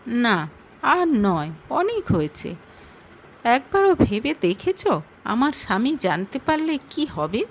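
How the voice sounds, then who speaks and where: Woman acting fretful young wife in an audio story, high swoopy voice, anxious and pleading. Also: very animated, takes it slowly, slight background noise, full character voice, low-quality recording. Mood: annoyed, firm. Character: lonely, anxious young wife hiding an affair.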